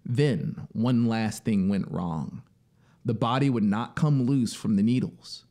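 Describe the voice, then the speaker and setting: A man in his thirties reads a literary story in a deliberate, dramatic way, drawing out key words into a close studio microphone. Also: lowish voice, mellow. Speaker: narrator and musician. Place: small home studio, indoors. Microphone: close studio microphone on boom arm. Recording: music behind the voice. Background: synthesizer soundscape music.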